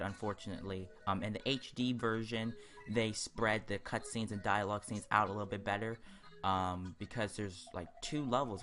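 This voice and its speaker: A man's voice: fairly low pitch, a bit bright. A man giving casual let's play commentary on a video game, in a hesitant, rambling, stop-and-start way. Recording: game music behind the voice. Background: video game music.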